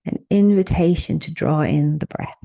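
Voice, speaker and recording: A woman leading a guided meditation, speaking in a soothing, unhurried way with gentle instructions and pauses. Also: deep voice, gravelly.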